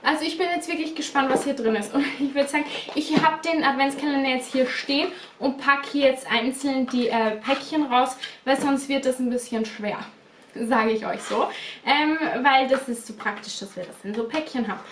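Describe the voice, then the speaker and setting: A young woman in her twenties chatting casually as a beauty vlogger, slightly stuffy from a cold, repeating words and filling pauses. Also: high-pitched, noisy background. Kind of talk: casual unboxing chat. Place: small bedroom studio indoors. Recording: roomy echo, a bit distant.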